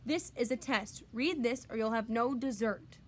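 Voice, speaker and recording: A woman's voice: on the high side, moderate pace, natural voice. Woman playing a stern, pompous storybook father.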